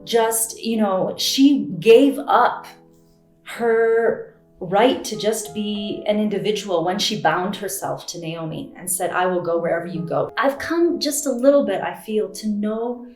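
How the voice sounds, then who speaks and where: A woman's voice: mid-range voice, a little slow. A woman of American parentage, raised in the Middle East, sharing an earnest and passionate testimony. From grew up in the Middle East to American parents. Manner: passionate, emphatic, building intensity. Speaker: guest. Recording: roomy echo with soft background music.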